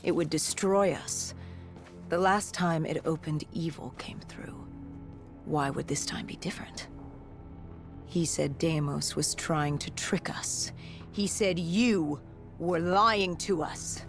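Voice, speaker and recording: Haunted, low-voiced female soldier. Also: slow. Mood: bitter, uneasy. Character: haunted female soldier recounting a zombie apocalypse. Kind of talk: dramatic first-person story narration. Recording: music behind the voice.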